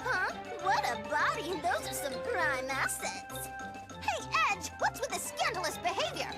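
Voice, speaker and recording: A woman's voice: extremely high pitch, full character voice. A woman with an American accent voicing a brash, teasing young mission operator in an anime-style game, perky and theatrical. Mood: teasing, excited.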